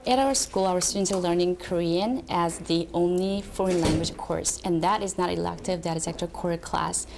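A woman speaks firmly in an interview, explaining school rules. Her voice is low and gravelly. Hallway noise and clicks are clearly heard. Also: moderate pace.

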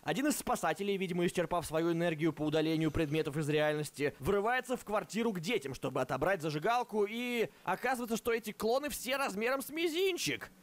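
A man's voice: sits high, animated, fast, hardly any pauses. A man reading scripted comedic review narration in a sarcastic, mock-dramatic way. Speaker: narrator of an online cartoon review.

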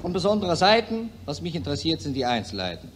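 An actor playing a smug, callous war correspondent in a satirical 1940s radio drama in verse. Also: male voice, fairly high pitch, sing-song, quick pace. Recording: noisy 1940s radio recording.

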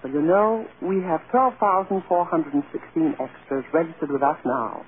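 An actor playing a matter-of-fact, discouraging casting office official in a vintage radio drama. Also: male voice, a little high, moderate pace, close to an everyday voice. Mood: businesslike, discouraging. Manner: businesslike, explanatory. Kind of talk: 1930s-40s American radio acting. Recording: tinny old radio, crowd chatter behind. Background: busy crowd noise.

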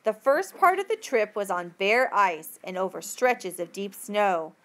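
A woman reading a textbook passage aloud as a teacher would, with careful, deliberate phrasing. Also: mid-range voice, very animated, a bit bright.

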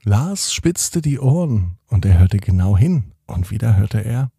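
A man hosting and narrating a children's bedtime story in an expressive manner, speaking directly to young listeners. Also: lowish voice, unhurried, gravelly, husky, no room sound, studio quality. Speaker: podcast host and storyteller.

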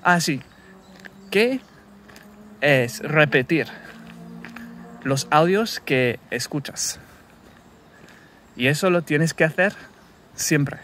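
Slow, halting Englishman walking outdoors. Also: on the high side, animated, a bit bright, slight background noise. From England. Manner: halting, self-correcting, searching for words. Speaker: language learner recording a practice podcast. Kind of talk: casual spoken practice monologue. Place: wooded countryside path outdoors. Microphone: phone held at arm's length while walking.

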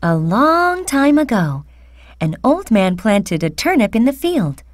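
A woman narrating a children's fairy tale, speaking carefully and deliberately as a storyteller. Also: on the high side, sing-song, no room sound. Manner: slow, careful, deliberate enunciation.

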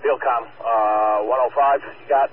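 A man's voice: medium pitch, fairly even intonation, unhurried, a little hiss or hum. A man working as a fire field communications officer, giving clipped, procedural progress reports over a phone-like two-way radio.

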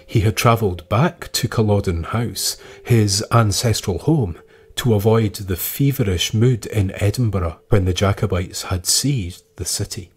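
Deep, slow-spoken male storyteller. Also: darkish tone. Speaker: podcast narrator. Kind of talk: scripted narration of historical tales.

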